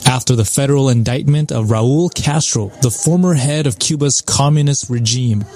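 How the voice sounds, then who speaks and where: A man's voice: mid-range voice, moderate pace, a little mellow. A man narrating a scripted news report as a reporter.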